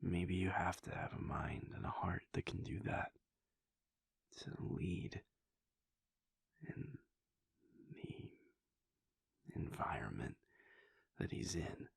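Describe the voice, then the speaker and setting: Brooding vampire prince, played by a man: husky hushed whisper, dry close sound. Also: low voice, animated, slow, long pauses, dry recording, exaggerated voice. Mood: wistful. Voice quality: gravelly, breathy. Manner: soft whispering, hesitant restarts. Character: brooding male vampire prince, conflicted and introspective. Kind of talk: audio roleplay monologue.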